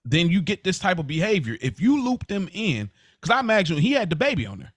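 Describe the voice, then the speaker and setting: A man's voice: sits high, very animated, a little fast, dry recording. A man in casual, opinionated podcast discussion, talking slangily and repeating phrases for emphasis. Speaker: podcast co-host.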